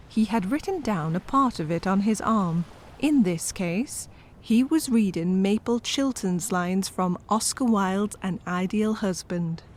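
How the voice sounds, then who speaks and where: A woman's voice: medium pitch, a little mellow. Wry woman narrator, slight background noise. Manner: wry, exasperated asides to listeners. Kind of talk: scripted comedic horror storytelling.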